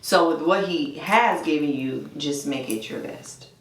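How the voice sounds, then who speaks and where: A woman's voice: low voice, a little slow, echoey room, distant mic, heavy background noise. A woman guest talking casually about singing, chatty and full of "like". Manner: chatty, laughs easily, lots of "like". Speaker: guest vocalist.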